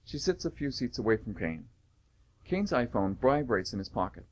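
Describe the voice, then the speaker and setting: Narrator, male, mid-pitched, lively intonation, scripted stage directions for radio drama. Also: normal speed.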